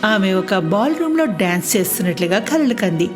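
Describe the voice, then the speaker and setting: Lively woman narrating a children's story. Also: mid-range voice, brisk, over music.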